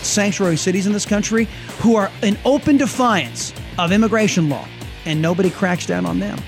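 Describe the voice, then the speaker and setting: A man's voice: high-pitched, brisk, vocal fry. A man hosting talk radio, delivering an indignant and emphatic political commentary in a played clip. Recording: radio clip over background music.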